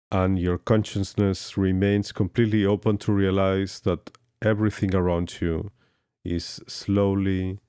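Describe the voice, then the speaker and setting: Very deep, slow male meditation guide. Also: warm tone, clean tone, no room sound, studio quality. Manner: slow, soothing instructions with long pauses. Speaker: yoga instructor. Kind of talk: guided yoga nidra meditation.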